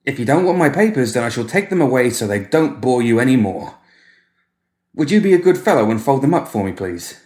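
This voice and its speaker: A man's voice: mid-range voice, very animated, brisk, a little mellow, reverberant, pitched above the performer's own voice, lightly acted. A man voicing a nervous newspaper courier in a story, speaking in a coaxing, politely careful way. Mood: placating.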